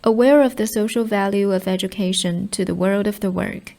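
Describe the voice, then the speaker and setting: A woman with a mellow, soft-edged tone reads formal scripted narration. Her pitch is mid-range and her delivery is measured. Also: fairly even intonation, gravelly. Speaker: narrator of model read-aloud answers. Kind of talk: scripted read-aloud narration.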